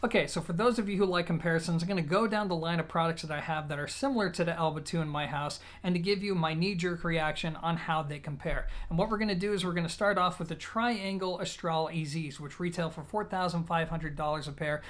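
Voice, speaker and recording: A man's voice: fairly high pitch, fast, few pauses, fairly bright tone. A man presenting an audio gear review, talking conversationally and directly to his viewers. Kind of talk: product review talk to camera.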